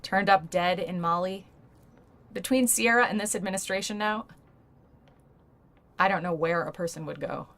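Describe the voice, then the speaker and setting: An actress playing a shrewd, blunt journalist in a naturalistic audio drama, speaking frankly and persuasively. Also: female voice, mid-range voice, moderate pace, creaky, pauses often, fairly bright tone. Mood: apologetic, resigned. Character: shrewd, blunt female journalist negotiating a risky deal.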